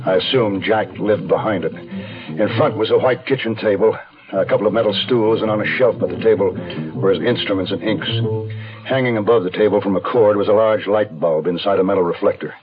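Actor as a wounded american guy on the run, low voice, terse noir narration, muffled 70s radio with music under it. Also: fairly quick, natural voice. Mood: grim, tense. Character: tense wounded male fugitive narrating his crime story. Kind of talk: 1970s radio drama, first-person noir narration. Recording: dull 1970s radio recording.

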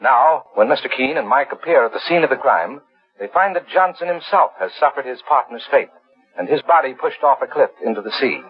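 A man's voice: medium pitch, animated, brisk, breathy edge, soft background music. A man announcing a radio mystery in dramatic 1940s scripted narration. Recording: muffled, dull 1940s radio recording.

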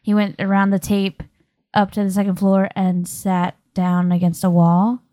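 A woman's voice: medium pitch, monotone, normal speed, warm tone, dry recording. A woman narrating a tabletop roleplaying game as game master in an informal, conversational way.

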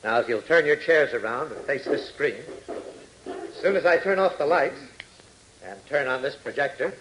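Man acting an earnest major, clear background noise. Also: medium pitch, animated, normal speed, a bit bright, lightly acted. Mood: businesslike. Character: earnest, insistent male military major demonstrating evidence. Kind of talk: 1940s radio serial acting. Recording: noisy old radio recording.

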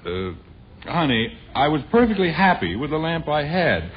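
A man's voice: a little high, sing-song, normal speed. An actor playing a wry, put-upon middle-aged father in a 1950s radio sitcom, sarcastic and exasperated. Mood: bewildered. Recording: dull, noisy vintage radio broadcast.

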